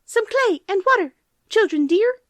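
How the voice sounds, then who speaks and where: A woman voicing small, kindly birds with a high, chirping voice. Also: animated, moderate pace, a bit bright, dry recording.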